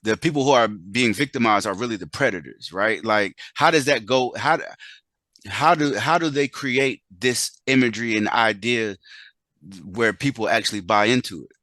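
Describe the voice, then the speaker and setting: A man hosting a casual interview, feeling his way haltingly through questions and rephrasing as he goes. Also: on the low side, sharp tone, no room sound. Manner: halting, searches for words, rephrases repeatedly. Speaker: interview host.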